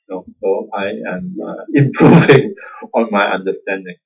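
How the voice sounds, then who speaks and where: A man's voice: low-pitched, unhurried, vocal fry, breathy edge. A man with a Singaporean accent giving an informal Buddhist dharma talk, conversational and self-deprecating, chuckling often. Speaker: Buddhist teacher. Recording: dull lo-fi recording.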